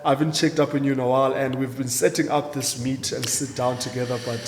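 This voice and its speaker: Male podcast host, medium pitch, informal chatty phrasing, frequent "you know", long hall reverb. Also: flat delivery, fast. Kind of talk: casual interview chat.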